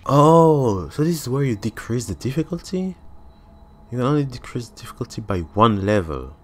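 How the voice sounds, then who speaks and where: A man's voice: mid-range voice, big pitch swings, normal speed. A man in his twenties, a native French speaker with a French accent, giving casual, blunt gameplay commentary and swearing freely. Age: 20s. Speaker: gamer.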